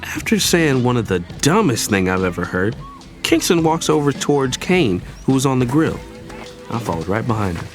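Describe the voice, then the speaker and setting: A young actor playing an idealistic younger brother tells his story in first person. His pitch sits in an ordinary male range but moves with lively inflection, over faint music. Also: normal speed, lightly acted. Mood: reflective, storytelling. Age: young adult. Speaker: narrator and character. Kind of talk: first-person scripted audio drama narration.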